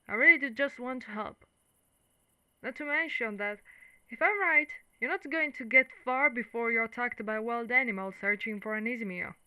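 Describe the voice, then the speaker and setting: A woman's voice: fairly high pitch, bright, forceful tone, clear, resonant tone, no room sound, poor audio. A woman voicing a caring, wry female Dullahan, an undead knight, in a reassuring, teasing, conversational ASMR monster roleplay. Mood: searching, concerned. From Italy. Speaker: voice actress.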